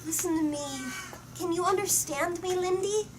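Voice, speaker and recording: A woman voicing a young princess girl, high and pleading, recorded with noticeable room noise. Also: moderate pace.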